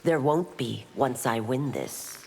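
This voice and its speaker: A woman's voice: a bass voice, takes it slowly, creaky, very noisy, exaggerated voice. An actress playing a stern, dutiful female warrior constable in a video game, formal and firm. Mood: measured, formal. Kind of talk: video game character dialogue. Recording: long hall-like reverb.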